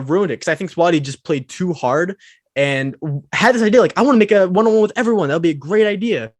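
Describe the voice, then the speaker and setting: A man with a fairly high voice co-hosts a casual podcast chat. He tells stories in a relaxed way, acting out little quoted asides. The recording is dry and close. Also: no room sound.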